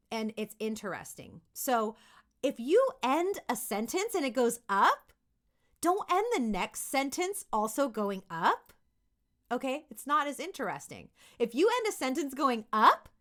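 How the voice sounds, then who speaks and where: Female kids' acting coach, very lively intonation, encouraging, emphatic, informal tutorial delivery. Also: a little high. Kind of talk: informal instructional video aimed at kids.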